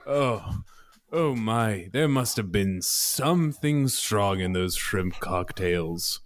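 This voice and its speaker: A man's voice: on the low side, expressive, slow pace. A man voicing a befuddled, hesitant party guest feigning tipsiness in a tabletop game. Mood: confused, flustered. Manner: hesitant, dithering, exclaiming.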